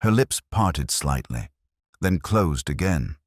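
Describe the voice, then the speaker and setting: A man narrating a scripted story in a measured, literary, dramatic manner. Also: a bass voice, big pitch swings, dry recording, clean studio sound.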